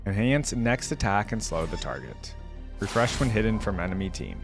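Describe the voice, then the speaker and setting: A man's voice: lowish voice, very animated, normal speed, vocal fry. A man giving casual, informal reaction commentary as a video host, musing and thinking aloud as he goes. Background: game music.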